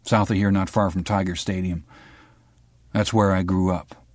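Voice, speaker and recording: A guarded police lieutenant with a dry, deadpan way of answering, voiced by a man reading the story. His voice sits low and level, recorded close and dry. It comes from an audiobook-style reading. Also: moderate pace, dry recording, lightly acted.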